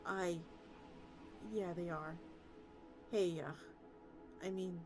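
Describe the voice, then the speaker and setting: A woman voicing an earnest, stubborn, weary country man in a story, with a halting, folksy manner. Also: fairly low pitch, lightly acted. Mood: subdued, polite. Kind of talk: character reading of a story. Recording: loud music behind the voice.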